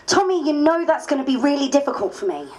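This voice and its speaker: An earnest new woman MP, played by an actress in a dramatised diary. Her voice is high and swings with animation. She stays polite but stubborn. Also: sing-song, fast, darkish tone. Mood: reluctant, firm.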